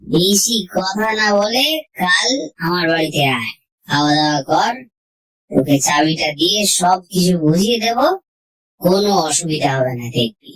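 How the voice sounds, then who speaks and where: A woman's voice: fairly low pitch, expressive, normal speed, a bit bright, poor audio. A woman voicing a familiar, bossy male friend in an audio story, chiding and reassuring. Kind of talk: audio story character dialogue.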